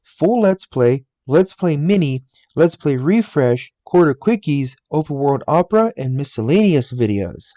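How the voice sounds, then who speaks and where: A man's voice: a little high, very animated, measured pace, dark tone, dry recording. Earnest young man narrating, lo-fi laptop mic. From United States. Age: 20s. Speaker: YouTuber. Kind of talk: scripted voice-over narration. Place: game room in a family home.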